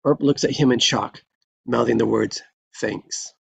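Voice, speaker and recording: A man with a gravelly voice reading scene descriptions from a screenplay aloud at a live table read. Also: medium pitch, fairly even intonation, unhurried. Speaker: reader of stage directions at a table read.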